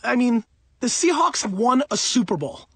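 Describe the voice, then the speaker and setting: A man's voice: very high-pitched, sing-song, moderate pace, fairly bright tone, husky, no echo, clean studio sound. A man of about forty giving blunt, emphatic, informal advice in an off-the-cuff monologue. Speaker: audiobook author answering listener questions.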